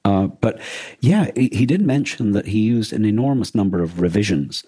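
A man co-hosting a podcast, discussing books casually in a measured, considered and slightly tentative way. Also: on the low side, animated, dark tone, slightly rough, dry recording. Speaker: podcast co-host.

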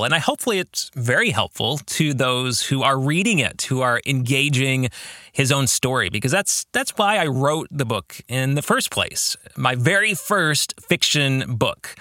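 A middle-aged American man hosting a podcast, speaking in a reflective, explanatory monologue with measured phrasing and occasional self-corrections. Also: mid-range voice, bright, forceful tone, no echo, studio quality. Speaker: podcast host and author.

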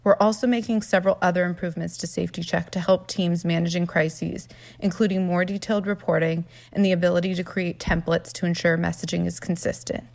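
Low, creaky-voiced woman announcing. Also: steady tone, brisk, hardly any pauses, darkish tone. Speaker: company representative giving a product update. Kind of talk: scripted corporate announcement.

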